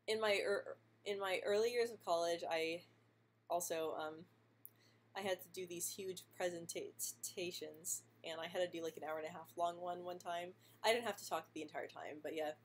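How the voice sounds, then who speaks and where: A young woman who stutters talks in a casual live-stream chat, her voice sitting a little low. She speaks softly, often repeating the first sounds of words, and laughs lightly between answers. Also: fairly even intonation, measured pace. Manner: stutters with frequent sound and word repetitions. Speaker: vlogger answering viewer questions live.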